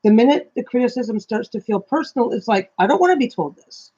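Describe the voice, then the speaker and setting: A woman's voice: a little high, animated, brisk, warm tone, breathy edge, lo-fi sound. A woman co-hosting a casual live stream chat, rambling through thoughts and swearing casually in her carrying voice. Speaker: co-host.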